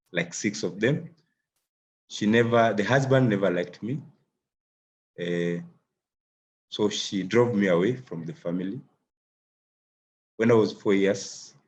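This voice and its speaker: Slow-speaking man from Kenya, lo-fi audio. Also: fairly low pitch, a little hiss or hum. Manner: slow, halting speech with frequent fillers. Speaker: child mentor and guest speaker. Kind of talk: personal testimony at a seminar.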